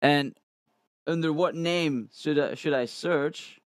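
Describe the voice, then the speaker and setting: A man's voice: fairly high pitch, slow pace, clean tone, no echo. A young man on a livestream, chatting casually and thinking aloud as he reads chat, recorded on a studio mic in a small room. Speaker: music reaction streamer. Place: small room with colored lights, indoors. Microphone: studio condenser mic on a stand, headphones on.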